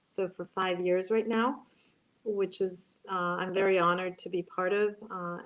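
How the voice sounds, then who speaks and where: Halting woman moderating a panel. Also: mid-range voice, low-quality recording. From Brazil. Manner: halting, many ums and uhs, restarts. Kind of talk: online panel discussion.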